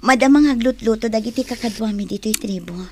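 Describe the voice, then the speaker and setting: A woman plays a caring young tribeswoman in a radio drama. Her voice is fairly high, gentle and coaxing, over faint background noise. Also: quick pace, close to an everyday voice. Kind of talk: Ilocano radio drama acting.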